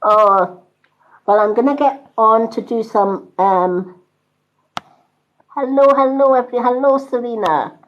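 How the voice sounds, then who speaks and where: A woman hosts a casual live stream as a card reader, speaking in a calm and soothing way. She takes her time, with a very unhurried pace. The sound is roomy and slightly dull, like modest livestream audio. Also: a little high.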